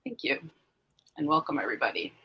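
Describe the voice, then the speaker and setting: A middle-aged woman from New York, introducing herself in a measured, plain way as an author on a panel. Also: rumbling low, wildly swooping pitch, sharp tone, breathy edge, poor audio. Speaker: author guest, lawyer.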